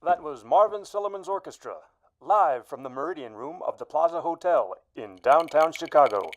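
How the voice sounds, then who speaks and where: An actor playing a formal 1940s radio announcer introducing programme items. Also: male voice, a little high, animated, normal speed, slight background noise, lightly acted. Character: smooth formal old-time radio band announcer.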